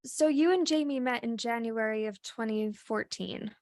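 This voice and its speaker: An actress playing a probing, matter-of-fact detective questioning witnesses in a radio play. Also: female voice, on the high side, normal speed, darkish tone, dry recording, studio quality, close to an everyday voice. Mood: probing, neutral.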